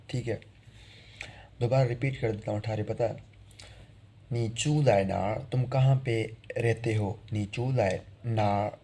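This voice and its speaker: A man giving an online language lesson as a teacher, slowly repeating each phrase so learners can drill it. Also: fairly low pitch, mellow.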